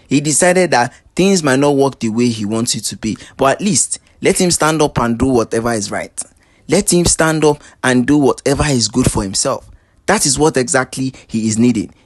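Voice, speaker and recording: Male gossip channel host, medium pitch, emphatic monologue style, circles back over points for stress. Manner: emphatic, repetitive, rhetorical questions.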